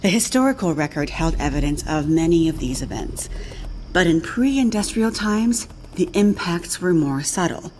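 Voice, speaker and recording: An actress playing an older woman scientist in a video game, brusque and intense, speaking in a measured, learned and emphatic way. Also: fairly low pitch, animated, darkish tone, slight background noise, natural voice, low-quality recording. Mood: solemn storytelling. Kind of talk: dramatic video game acting.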